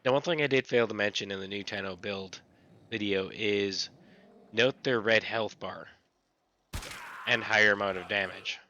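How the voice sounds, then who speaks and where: A man with a scratchy voice, casually explaining how he plays a game character, with relaxed, repetitive phrasing. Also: lowish voice, normal speed, pauses often, muffled recording. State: recovering from illness. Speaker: gaming channel host. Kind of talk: casual gameplay tutorial.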